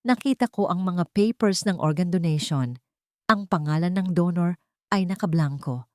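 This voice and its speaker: A woman's voice: lowish voice, moderate pace, a little mellow, dry recording, studio quality. A woman reading a scripted first-person love drama as a dramatic storyteller. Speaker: story narrator.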